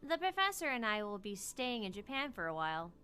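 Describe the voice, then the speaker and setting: Girl doing young anime scientist lady, super clear n bright, businesslike, earnest. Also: medium pitch, big pitch swings, brisk. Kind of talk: visual novel character read-aloud.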